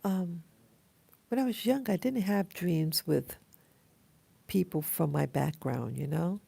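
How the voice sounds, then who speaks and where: Slow, hoarse American woman, just awake. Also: fairly low pitch, dark tone, no echo. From Queens, New York City, USA. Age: 50s, almost sixty. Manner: halting, reflective. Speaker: vlogger. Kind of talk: casual personal dream journal.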